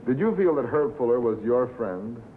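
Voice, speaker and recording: An actor playing a dry, businesslike radio reporter, asking clipped, polite questions in a 1950s film. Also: male voice, medium pitch, lively intonation, moderate pace, lightly acted. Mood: patient, brisk. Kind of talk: 1950s film acting, interviewing. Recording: tinny, noisy 1950s film soundtrack.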